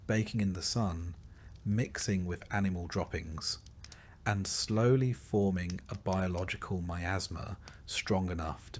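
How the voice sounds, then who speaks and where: Male narrator, lowish pitch, for long-form scripted storytelling: measured dramatic delivery with a dry, wry edge. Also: slight background noise. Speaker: narrator of history sleep stories. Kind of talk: scripted second-person documentary narration.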